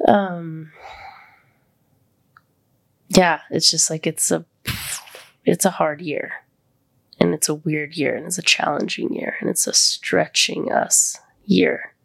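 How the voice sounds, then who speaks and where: An American woman hosting a podcast in casual, candid chat, with a congested voice that sits deeper than her usual. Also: fairly even intonation, slow, gravelly, pauses often, fairly bright tone. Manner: candid, conversational. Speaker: podcast host.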